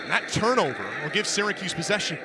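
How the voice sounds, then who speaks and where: Guy doing live sports play by play, pretty high voice, punchy, really loud game noise behind him. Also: very animated, fast. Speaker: play-by-play announcer.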